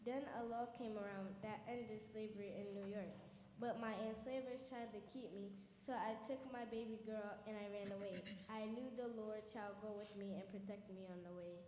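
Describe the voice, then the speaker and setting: A woman's voice: medium pitch, steady tone, normal speed, warm tone, natural voice. A young woman in a church skit playing a determined formerly enslaved abolitionist woman with an earnest, testifying delivery. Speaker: youth performer in a church skit. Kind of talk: stage acting in a church program. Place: church hall. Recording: echoey hall with long reverb, faint noise.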